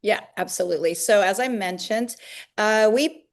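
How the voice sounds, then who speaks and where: A woman's voice: medium pitch, moderate pace. Upbeat talkative woman on a webinar. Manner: upbeat, talkative, frequent fillers. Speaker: guest, fitness company founder. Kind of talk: webinar interview.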